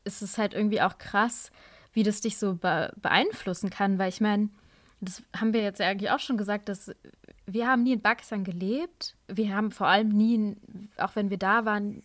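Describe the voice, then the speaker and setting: A woman's voice: mid-range voice, steady tone, moderate pace, dry recording. A woman co-hosting a podcast, musing thoughtfully about longing and identity with casual fillers. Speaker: podcast co-host.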